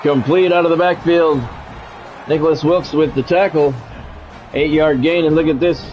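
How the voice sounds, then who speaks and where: A man's voice: on the high side, fairly even intonation, normal speed, faint music behind. American man, wry game commentary, dull sound. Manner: informal, wry and self-deprecating, occasional swearing. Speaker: game streamer doing football play-by-play. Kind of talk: casual chat mixed with sports commentary.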